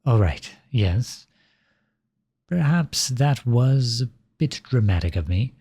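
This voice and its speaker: A man playing a flirtatious, silver-tongued royal at a masquerade ball in an ASMR roleplay, speaking in courtly, formal, flattering phrases with a husky voice. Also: medium pitch, takes it slowly, no echo, studio quality, exaggerated voice. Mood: earnest, coaxing. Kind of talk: ASMR roleplay, scripted romantic monologue addressed to listener.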